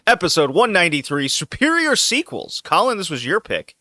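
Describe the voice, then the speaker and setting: A man's voice: high voice, huge pitch swings, unhurried, pushed and bright, no room sound, studio quality. A man hosting a casual podcast, loose and joking, laughing often as he talks. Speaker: podcast host. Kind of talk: casual chat.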